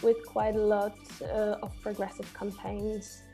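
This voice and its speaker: Mellow-voiced woman from Poland. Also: mid-range voice, monotone, measured pace, faint music behind. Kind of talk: video testimonial.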